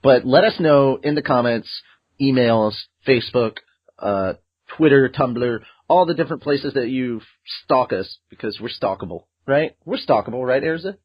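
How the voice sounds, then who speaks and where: A man hosts a fan podcast in loose, casual chat, excitable and joking as he rambles through plugs and news. His voice is husky. The audio is dull and lo-fi. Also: mid-range voice, normal speed. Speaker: podcast host.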